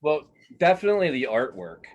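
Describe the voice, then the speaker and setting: A man giving a casual interview as a cartoonist guest, speaking haltingly and modestly, often repeating words as he thinks. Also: on the high side, big pitch swings, lo-fi sound.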